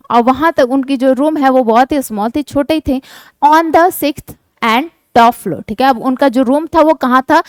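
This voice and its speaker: High-voiced woman teacher. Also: darkish tone, no echo. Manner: reads English lines slowly, then explains with frequent "ठीक है" fillers. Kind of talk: Hindi explanation of an English textbook chapter.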